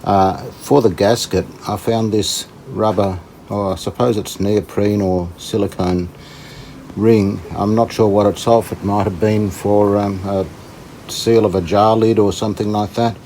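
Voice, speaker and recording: A man with a low, soft-edged, dark voice talks through repair work in a casual way. He is unhurried and a little tentative, thinking aloud as he goes. There is faint noise behind him. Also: steady tone, rough recording. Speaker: host of a radio repair channel. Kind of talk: casual hands-on repair commentary.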